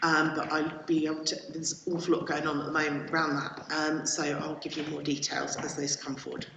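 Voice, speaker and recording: A councillor answers a question at a council meeting in a flat, mid-pitched voice. The delivery is hesitant and breaks off to restart phrases. The voice is set back from the mic in an echoey room. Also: a little fast, gravelly, recorded from a distance, noisy background. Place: council chamber.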